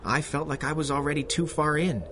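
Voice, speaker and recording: A man playing an honest, awkward young policeman in a 1930s-style radio drama, halting, sincere and flustered. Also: fairly high pitch, fairly even intonation, noisy background, natural voice. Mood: troubled, sincere. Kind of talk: 1930s radio adventure serial acting.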